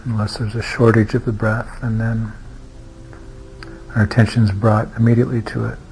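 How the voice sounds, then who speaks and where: Over clearly audible music, a man guides a meditation in a low-pitched, very even voice. He takes his time, leaving long gaps between phrases and sometimes restarting a thought hesitantly. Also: moderate pace, poor audio. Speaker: meditation teacher.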